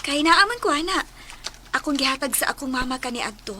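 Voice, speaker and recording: A woman's voice: sits high, animated, a little fast, pushed and bright, a little hiss or hum, exaggerated voice. An actress with a Filipino accent playing a polite, devout and earnest woman passenger in a radio drama.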